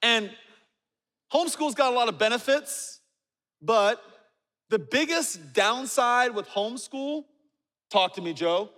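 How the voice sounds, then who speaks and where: A man in his forties preaching a sermon, telling stories with humor and dropping low and quiet for asides. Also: expressive, slow, pauses often. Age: 40s. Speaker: pastor. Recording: reverberant hall.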